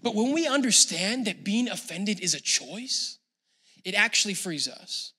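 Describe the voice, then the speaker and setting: A male pastor with a high, very bright and forceful voice delivers a sermon. He speaks in a relaxed, conversational way to viewers at home, then builds to loud, emphatic preaching. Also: measured pace, breathy edge.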